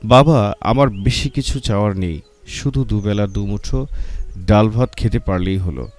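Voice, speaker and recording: Man playing a humble low-voiced cowherd. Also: normal speed, a little mellow, lightly acted. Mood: humble, contented. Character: poor contented cowherd, honest, humble and respectful. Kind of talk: storybook character voice.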